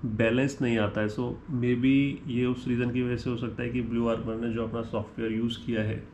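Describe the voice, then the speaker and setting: A man's voice: medium pitch, flat delivery, normal speed, a little mellow. A man with an Indian accent giving an unscripted, explanatory comparison review, switching between Hindi and English and checking in with the listener as he goes. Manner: ends points with a checking "right?". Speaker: motorcycle gear reviewer. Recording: echoey room with faint background noise.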